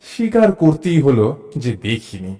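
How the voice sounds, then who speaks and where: An actor narrating a suspense audio story as a curious, mild, observant traveler, in an expressive storytelling manner. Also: male voice, medium pitch, unhurried. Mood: amused, wry. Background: background music.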